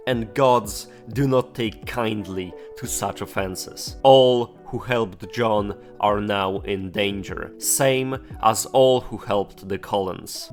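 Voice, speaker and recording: A man delivers a scripted commentary in a wry, opinionated way and swears now and then. His pitch sits in the middle range, and faint music plays underneath. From Poland. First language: Polish. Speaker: video essayist.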